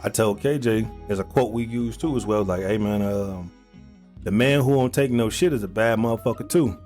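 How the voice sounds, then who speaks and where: A man's voice: on the low side, moderate pace, darkish tone, soft background music. A man in his thirties co-hosting a podcast, talking emphatically and profanely. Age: 30s. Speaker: podcast co-host. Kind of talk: casual chat.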